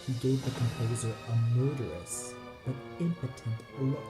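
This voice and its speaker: A man's voice: mid-range voice, moderate pace. A man reading dramatic scripted narration for an audio play. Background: music.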